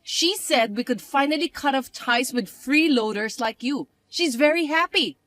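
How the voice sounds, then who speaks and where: A woman voicing a spoiled young stepsister who taunts and bosses in a shrill, mocking way. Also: moderate pace, fairly bright tone, dry recording. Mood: taunting.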